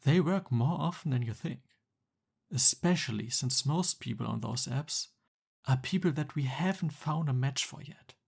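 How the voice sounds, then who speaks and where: A man's voice: medium pitch, animated, moderate pace, gravelly, husky. A man playing a jealous, exasperated young Cupid best friend in a conversational boyfriend roleplay ASMR. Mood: explaining, wry.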